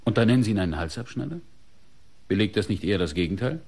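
A man's voice: lowish voice, animated, fast talker, darkish tone, husky. An actor playing an insistent male police inspector who questions probingly in a radio crime play. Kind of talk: German radio play acting.

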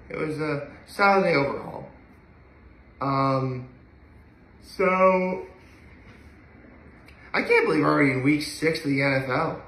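Bright-voiced young man, rambling in a roomy space. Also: mid-range voice. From upstate New York. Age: young adult. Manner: rambling, hesitant, trailing off. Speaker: daily vlogger. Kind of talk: casual solo chat to camera. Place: indoor living room at home. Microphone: phone camera a few feet away. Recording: roomy, echoey home recording.

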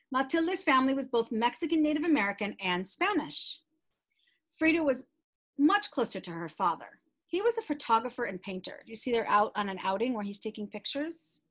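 A middle-aged woman with a somewhat high voice presents a read-aloud for young readers. She is expressive and deliberate with the text, and slips into chatty asides to explain it to the children.

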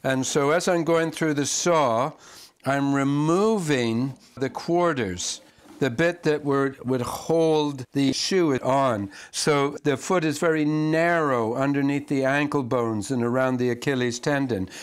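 An older man who carves shoe lasts, explaining his work calmly and step by step with frequent restarts while he cuts wood at a band saw in his workshop. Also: medium pitch, slow pace. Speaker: shoemaker and last carver. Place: workshop, indoors, next to a running band saw. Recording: clear power tool noise behind the voice.

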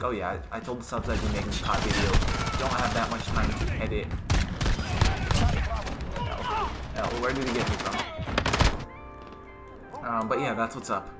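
A young man with a raspy, hoarse voice from being sick, giving casual, slangy, excitable live commentary while he plays a game at home on a headset. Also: medium pitch. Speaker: gaming YouTuber. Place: indoor room at home. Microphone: headset with webcam. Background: game gunfire and music.

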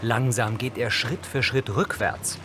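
A man's voice: medium pitch, measured pace, a bit bright, slight background noise. A man reading scripted audio description narration for a television film.

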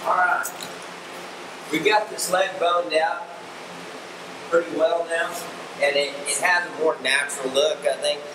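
A middle-aged man, a show judge explaining how he grooms a goat for show in a relaxed way, with frequent ums and restarts. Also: on the high side, measured pace, long pauses. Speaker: livestock fitting instructor and show judge. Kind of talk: demonstration tutorial. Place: large indoor barn arena. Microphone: far camera mic. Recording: echoey barn from far off with air conditioning hum.

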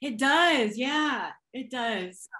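A young woman singer with a slightly raspy voice, chatting as a guest on a video call from a room at home, gushing and full of fillers. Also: sits high, animated, extremely slow, pushed and bright. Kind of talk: casual chat, storytelling. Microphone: webcam on a video call.

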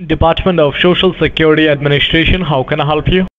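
A man's voice: on the high side, steady tone, quick pace, very noisy. A man posing as a government officer over the phone, speaking in formal scripted phrases. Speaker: phone scammer. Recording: tinny phone line.

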